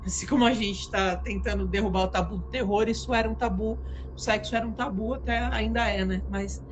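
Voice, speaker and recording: A woman's voice: medium pitch, fairly even intonation, brisk, noisy background. A woman hosting a casual live chat with channel supporters, telling stories in a conversational way with frequent restarts. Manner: conversational, hesitant restarts, storytelling. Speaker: channel host.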